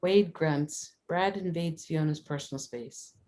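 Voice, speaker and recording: Female narrator for screenplay stage directions; deep-pitched voice, scripted read. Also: a little slow, a little mellow.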